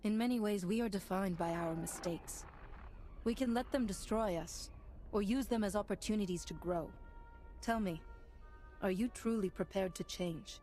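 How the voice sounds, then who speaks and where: A woman's voice: mid-range voice, normal speed, warm tone, soft background music, lightly acted. An actress playing a resolute, composed female Republic hero and negotiator in a video game. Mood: calm, firm. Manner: firm, composed, authoritative.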